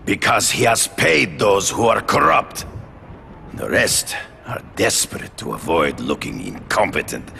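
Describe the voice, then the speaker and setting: An actor playing a proud, menacing big-game hunter in a video game, a growling gravelly voice and formal, theatrical delivery. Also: male voice, low voice, bright, forceful tone, slight background noise, exaggerated voice. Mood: disdainful. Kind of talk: video game dramatic acting.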